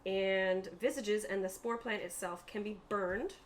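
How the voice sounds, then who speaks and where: A woman's voice: mid-range voice, unhurried, slight background noise. A woman narrating a tabletop roleplaying game as game master, explaining things in a conversational way.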